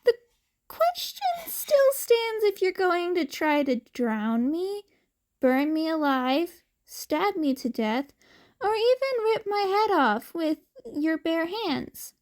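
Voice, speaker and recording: A woman's voice: very high-pitched, very animated, slow pace, pitched above the performer's own voice, full character voice. A woman voicing a hungry cat-boy child, sweetly pleading and cheeky, in a fan fiction audio story. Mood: blithely pleading.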